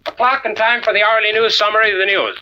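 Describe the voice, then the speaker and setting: A man's voice: high voice, steady tone, quick pace, lo-fi sound, close to an everyday voice. A man acting an urgent, formal American news announcer in an old-time radio drama. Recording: faintly noisy old radio recording.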